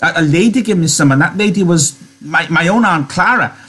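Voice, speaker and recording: A man's voice: medium pitch, unhurried, a bit bright, breathy edge, voice pushed up. A man reading a novel aloud, voicing a big, simple-minded farmhand who stammers, repeats himself and talks with childlike, anxious earnestness in rustic speech. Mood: delighted.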